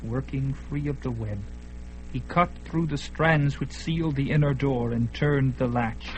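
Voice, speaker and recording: A man's voice: mid-range voice, measured pace, slight background noise, low-quality recording. A man narrating a fantasy radio drama with dramatic, swelling delivery. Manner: dramatic, swelling at peaks, hushed in quiet parts.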